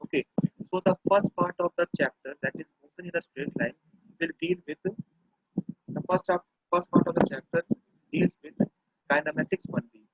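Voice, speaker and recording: Gravelly high-voiced man teaching online physics. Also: wildly swooping pitch, normal speed, no room sound. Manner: repetitive, restarts phrases, checks in with "okay". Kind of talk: online class lecture. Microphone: computer or phone mic over internet call. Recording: dry, muffled lo-fi online class audio.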